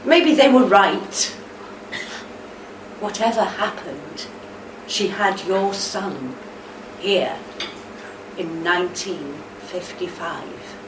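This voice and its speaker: Woman playing steady wife, radio play, gentle deliberate delivery, roomy sound, set back from the mic. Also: medium pitch. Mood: tender, firm. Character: steady, tender, reassuring wife of a troubled veteran. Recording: roomy, distant mic, faint background noise.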